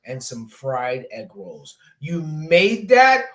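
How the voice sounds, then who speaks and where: A man's voice: a little high, big pitch swings, slow, fairly bright tone. A young man in his late twenties hosts a laid-back sports show. He talks in loose, rambling runs, full of ums and restarts, and keeps the chat moving between guests. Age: 20s. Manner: chatty, rambling, many fillers. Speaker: podcast host. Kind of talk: casual sports talk.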